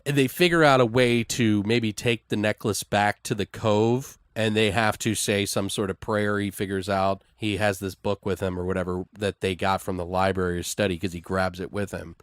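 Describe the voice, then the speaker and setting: A man in a casual creative brainstorming chat, informal and sweary, thinking aloud in halting repetitions. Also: fairly low pitch, little pitch movement, smooth, no echo, studio quality. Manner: foul-mouthed, informal. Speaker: co-host brainstorming a horror audio drama.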